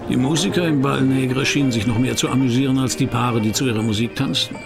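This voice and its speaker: An actor playing a wry, probing private detective who narrates and questions in a German radio crime drama, dry and laconic, with a gravelly voice. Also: male voice, mid-range voice, quick pace, over music, natural voice. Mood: narrating, wry. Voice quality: gravelly, creaky.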